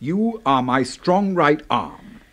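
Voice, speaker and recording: An English actor playing a pompous, courtly old military boss who flatters smoothly, in a radio drama. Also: male voice, on the high side, slow. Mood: soothing, flattering. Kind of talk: 1970s radio drama acting.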